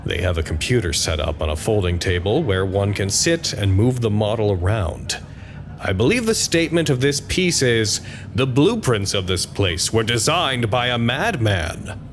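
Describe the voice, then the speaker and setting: Low-voiced, weary, anxious man. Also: background noise. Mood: calmer, wry. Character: anxious, weary older male researcher narrating a radio log. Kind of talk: audio drama acting, intimate log narration.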